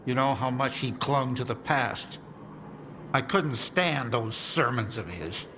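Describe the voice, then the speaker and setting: A man's voice: medium pitch, expressive, takes it slowly. A man voicing a worried male survivor in a video game, explaining things in an earnest, urgent way. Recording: game audio with clear ambient noise.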